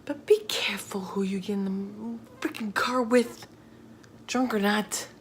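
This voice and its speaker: A woman telling true crime stories casually, in a halting, informal, chatty manner. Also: mid-range voice, fairly even intonation. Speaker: host of a true crime video channel. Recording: faint background noise.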